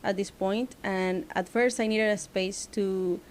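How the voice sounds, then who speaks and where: A woman from Venezuela with a Venezuelan Spanish accent, a studio founder speaking as a guest in a casual interview, relaxed and loose, with lots of "like" fillers. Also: mid-range voice, unhurried, slight background noise. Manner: relaxed, many "like" fillers, plain non-native phrasing. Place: small podcast studio.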